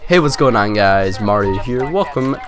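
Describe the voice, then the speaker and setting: A man's voice: fairly low pitch, expressive, normal speed. A young man with a stuffy, nasal cold, chatting casually as he hosts a gaming video with lots of fillers. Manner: rambling, chatty, many fillers. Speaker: gaming channel host. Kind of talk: casual gameplay commentary.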